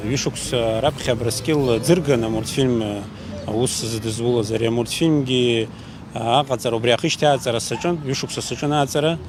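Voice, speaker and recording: A man's voice: medium pitch, moderate pace. A man speaking off the cuff as an interviewee about his own work. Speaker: animated film director, interviewee. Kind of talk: unscripted interview. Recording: clear background noise.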